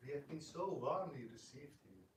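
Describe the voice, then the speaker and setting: A man's voice: on the high side, sing-song, normal speed. A man playing a polite, earnest young prince in a theatrical reading. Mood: respectful hope. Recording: echoey room, distant mic, background noise.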